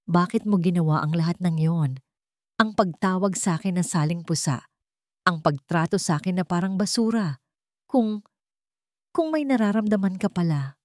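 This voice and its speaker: A woman's voice: lowish voice, moderate pace, long pauses, no room sound, studio quality, close to an everyday voice. A woman voicing a hurt but devoted young woman in a romantic audio drama, earnest and pleading. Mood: hurt, questioning.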